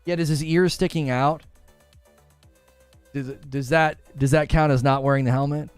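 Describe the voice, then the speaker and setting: Clear-voiced man chatting on a gaming livestream. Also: on the high side, fairly even intonation, normal speed, no room sound, soft background music. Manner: informal, slangy, addresses viewers directly. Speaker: gaming podcast host and livestreamer. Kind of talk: casual livestream chat, reading viewer comments aloud.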